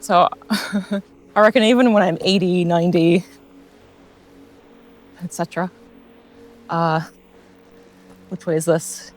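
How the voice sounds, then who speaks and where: A woman's voice: fairly low pitch, slow pace, long pauses. A woman in her forties, from Russia, with a mostly American accent slightly shifted by years in New Zealand, chatting casually and laughing often as she walks outdoors. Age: 40s. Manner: relaxed, chatty, laughs often, many fillers. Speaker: vlogger. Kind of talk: casual rambling chat on a walk. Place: outdoors on a bush walk.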